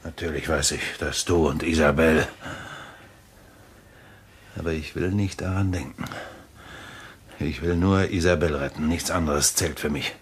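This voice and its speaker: An actor playing a grimly resolved, obsessed husband in a German thriller radio play, brooding and deliberate in manner, with a husky voice. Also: male voice, extremely low pitch, fairly even intonation, slight background noise. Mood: stern, desperate.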